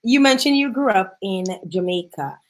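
A woman's voice: mid-range voice, lively intonation, moderate pace. Effusive, chatty woman hosting a show. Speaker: show host. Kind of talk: casual interview chat.

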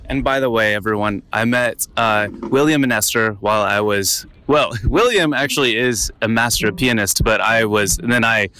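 A man's voice: on the low side, normal speed, hardly any pauses, pushed and bright, a little hiss or hum. A man hosting a podcast interview, upbeat and eager, laughing often, recorded on a phone. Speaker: podcast host. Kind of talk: casual interview. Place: room with a piano. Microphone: iPhone recording. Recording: rough phone recording, piano behind. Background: piano music.

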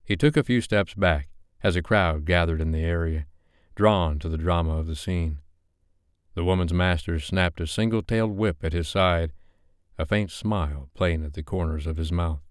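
A man narrating an audiobook in a steady, measured reading style. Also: deep voice, clear, resonant tone, no echo, clean studio sound.

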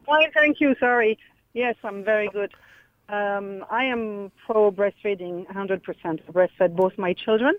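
Female phone-in caller, measured opinion, mid-range voice, narrow phone sound. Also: medium pitch, no room sound. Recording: band-limited tinny phone line.

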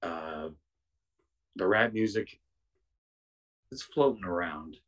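A young man with a raspy voice, chatting casually as a podcast guest, rambling with lots of fillers and restarts. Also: low-pitched, takes it slowly, fairly bright tone, far from the mic. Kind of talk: casual chat.

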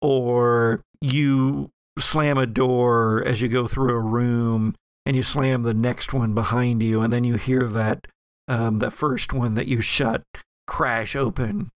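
A man's voice: mid-range voice, steady tone, takes it slowly, smooth, dry recording. A man narrating a horror role-playing audio drama as game master, with descriptive, suspenseful storytelling.

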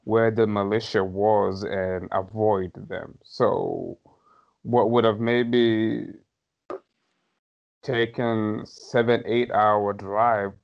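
Low-voiced Somali-born man, dull audio. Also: steady tone, extremely slow, vocal fry. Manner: thoughtful, hedging, with light laughs. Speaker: podcast guest. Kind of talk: reflective personal storytelling.

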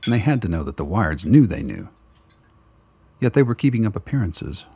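A male narrator telling a radio drama steadily in close third person. His voice is deep and husky. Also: very animated, a little fast, warm tone. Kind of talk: scripted narration.

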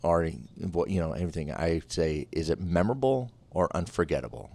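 A man with a very low voice hosts a relaxed interview. He thinks aloud in short, reflective phrases and affirms what his guest says. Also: moderate pace, creaky. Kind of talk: casual interview chat.

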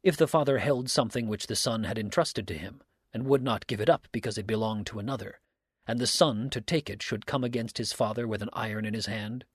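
A man voicing a stern, righteous countryman in an audiobook, speaking in a measured, formal, sermonizing way. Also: on the low side, slightly rough, dry recording, clean studio sound. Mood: probing, measured. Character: stern, unhurried righteous countryman, preaching and probing. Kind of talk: audiobook character dialogue.

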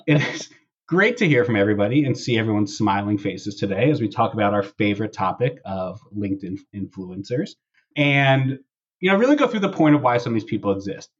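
Husky man hosting, dull muffled audio. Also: on the low side. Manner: jokey, playful exaggeration. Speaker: podcast host. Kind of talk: casual comedic podcast banter. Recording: dull, band-limited audio.

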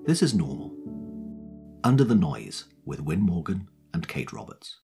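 Deep, dry-humored male announcer over guitar. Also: very animated, unhurried, creaky, mellow, husky. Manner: dry, tongue-in-cheek. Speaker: show intro announcer. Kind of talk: scripted intro narration. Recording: guitar music behind.

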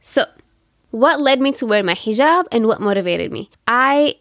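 A woman's voice: fairly high pitch, expressive, normal speed, a bit bright, no room sound, studio quality. A woman in her thirties from Syria, hosting a podcast and telling her own story in an earnest, reflective way, with many fillers. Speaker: podcast host. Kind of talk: personal storytelling monologue.

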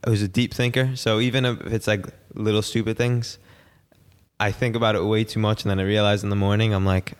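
A man's voice: lowish voice, little pitch movement, brisk, clear, resonant tone. A man whose first language is Italian, chatting casually in an earnest, reflective way with some hesitant phrasing. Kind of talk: casual chat. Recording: long hall-like reverb.

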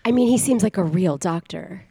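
A woman's voice: fairly low pitch, normal speed, creaky, mellow, husky. A woman playing a mild, hesitant wife and mother in improvised radio comedy. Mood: surprised.

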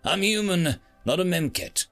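A man's voice: high voice, expressive, moderate pace, fairly bright tone, soft background music, pitched above the performer's own voice. A man voicing a cocky, irreverent human soldier with a flippant, slangy way of talking. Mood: defiant, flippant.

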